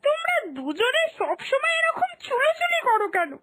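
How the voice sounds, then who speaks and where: A woman's voice: very high-pitched, sing-song, moderate pace, pushed and bright, full character voice. A woman voicing a loving mother-in-law in a children's cartoon, earnestly admonishing her family. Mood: chiding, pleading. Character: chiding but loving mother-in-law of the household. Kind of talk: Bengali moral-story cartoon dubbing.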